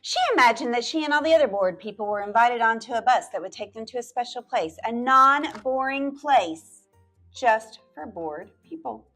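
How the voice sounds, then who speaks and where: A woman's voice: a little high, lively intonation, normal speed, a bit bright, soft background music. A woman library storyteller reading a picture book aloud to children, stretching words out and dropping into playful whispered asides.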